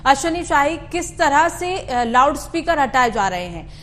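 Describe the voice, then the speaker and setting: A woman's voice: high-pitched, very animated, measured pace. A woman news anchor who presents in an emphatic way, repeating phrases as she narrates and questions. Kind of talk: scripted news narration and live interview.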